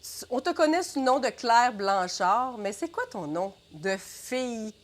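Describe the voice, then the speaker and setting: A woman's voice: on the high side, expressive, measured pace. Québécois woman interviewer. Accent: Québécois French. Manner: friendly, laughs easily, prompting questions. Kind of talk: casual interview.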